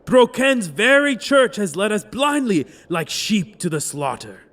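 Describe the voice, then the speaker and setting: High-voiced young zealot prophet. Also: male voice, big pitch swings, unhurried, a bit bright, full character voice. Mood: fervent, defiant. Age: young adult. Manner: impassioned, declamatory oratory. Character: young noble zealot preaching as a self-proclaimed prophet. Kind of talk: fantasy audio drama acting.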